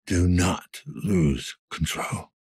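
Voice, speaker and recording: A man playing a weary, gruff older police detective in a cyberpunk video game, speaking tersely in a low-key, world-weary way. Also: very slow, darkish tone, no echo, studio quality, heavily acted. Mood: weary, tense. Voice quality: husky, gravelly.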